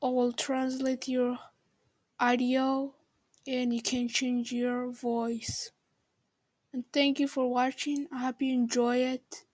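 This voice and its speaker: A woman hosting a casual how-to screen recording, speaking haltingly and repeating words as she goes. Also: high voice, little pitch movement, a little mellow, no room sound. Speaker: tutorial host.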